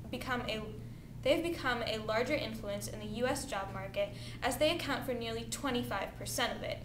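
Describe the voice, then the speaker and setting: A young woman with a fairly high voice reads the news from a script as anchor. The sound is roomy, with clear noise in the background. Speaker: news anchor in amateur mock newscast. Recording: echoey room with rumbling noise.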